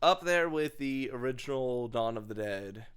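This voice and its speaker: A man on a podcast panel giving casual, emphatic film opinions in halting, informal phrasing. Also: mid-range voice, expressive, a bit bright, clear, resonant tone. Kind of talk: casual film review chat.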